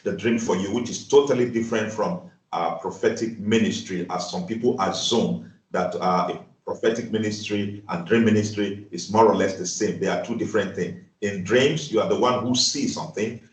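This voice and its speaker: A Nigerian man with a lowish voice hosts a dream interpretation channel, effusive and full of repeated affirmations. He sits some way from the mic in an echoey room, with faint noise behind. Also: normal speed, hardly any pauses, distant mic. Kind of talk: ministry channel talk.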